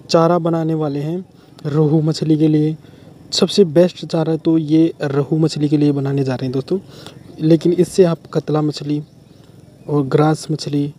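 A man with a very dark, mellow tone and a fairly high voice chats his way through a how-to. He is outdoors by a river, and there is faint noise behind him. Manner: chatty and repetitive, often says "friends". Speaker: fishing channel host. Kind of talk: casual how-to demonstration.

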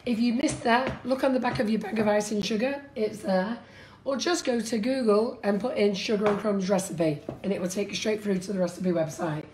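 A woman with a husky voice hosting a live baking stream, chatty and brisk. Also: mid-range voice, noisy background. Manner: laughs a lot, brisk and chatty. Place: large home-style kitchen. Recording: echoey room, kitchen clatter.

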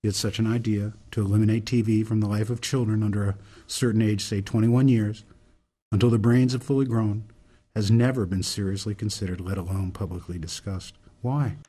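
A man acting in a radio drama as an earnest older reformer, delivering a deliberate, solemn, speechifying monologue. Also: on the low side, steady tone, mellow, breathy edge. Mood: grave, urgent. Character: earnest older male reformer laying out a grave case.

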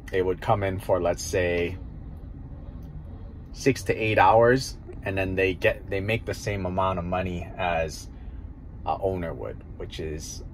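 A man's voice: low voice, slight background noise, low-quality recording. A man in his thirties, a restaurant owner, talking casually in a parked car at night into a phone camera, thinking aloud with many fillers. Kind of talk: casual monologue. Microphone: phone camera held close.

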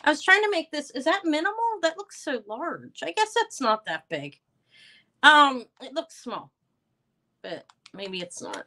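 Loud, bright-voiced woman hosting a live sale. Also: high-pitched, sing-song, measured pace. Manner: chatty, rambling, loud voice. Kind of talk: casual live-stream chat greeting viewers.

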